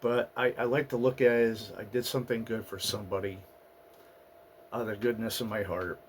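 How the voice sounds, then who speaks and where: A man hosting his own channel, talking through his past year in a halting, personal monologue, his voice raspy and hoarse from a recent flu. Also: on the low side, steady tone, slight background noise. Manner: halting, with fillers and restarts. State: getting over a flu, hoarse. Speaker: channel host. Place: at home in the US Northeast.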